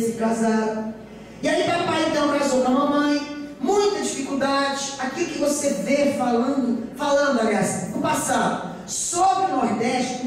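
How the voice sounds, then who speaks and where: A high-pitched woman in her forties preaches with a Goiás Brazilian accent. Her oratory is emphatic and repetitive, with rhetorical questions to the congregation. She is heard at a distance in a heavily echoing church. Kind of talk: sermon with personal testimony. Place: church during a live service. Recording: echoey church hall, distant mic, faint noise.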